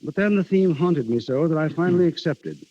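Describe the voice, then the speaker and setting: A man speaking as a guest writer in a scripted 1930s radio interview, formal and measured. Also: a little high, animated. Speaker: guest playwright. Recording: muffled 1930s radio broadcast.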